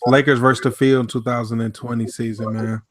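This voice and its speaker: A man hosting a casual boxing podcast, laid-back and tipsy, talking in a slow, mellow way. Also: medium pitch, level tone, a crowd in the background. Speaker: podcast host. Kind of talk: casual chat.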